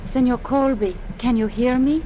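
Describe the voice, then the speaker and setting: An actress playing a gentle, devoted young South American servant woman with a Spanish accent and a formal, solicitous manner. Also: fairly high pitch, normal speed, lo-fi sound, noisy background, lightly acted. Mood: concerned. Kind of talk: old-time radio drama acting.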